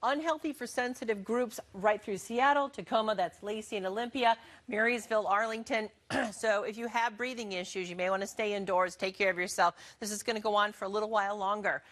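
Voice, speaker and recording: A woman's voice: mid-range voice, brisk, fairly bright tone. A woman presenting an ad-libbed morning weather forecast in an informal, conversational way.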